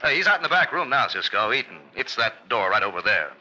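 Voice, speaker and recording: An actor playing a sly, folksy Old West villain with drawn-out hedging speech in an old-time radio western. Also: male voice, mid-range voice, sing-song, bright, forceful tone. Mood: falsely friendly. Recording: muffled, noisy vintage radio recording.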